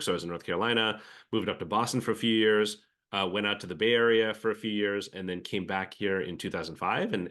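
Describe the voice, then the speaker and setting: A man with a bright, forceful voice pitched a little low speaks with an American accent. He is a guest on an interview podcast. He talks reflectively and piles up fillers and restarts as he goes. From Philippines. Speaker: venture capital guest.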